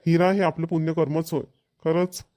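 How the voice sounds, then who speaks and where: Village family man played by a male actor, Varhadi rural accent, slightly high voice, chatty, contented and emphatic, for a cartoon family comedy. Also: flat delivery, moderate pace, dark tone, lightly acted. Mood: approving, chatty. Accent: rural Maharashtrian, Varhadi.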